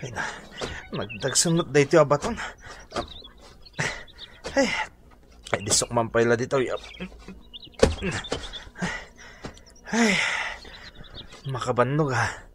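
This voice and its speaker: A man's voice: medium pitch, sing-song, a little slow, long pauses, noisy background. A man with an Ilocano Filipino accent acting an eager, helpful young village volunteer in a radio drama. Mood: weary.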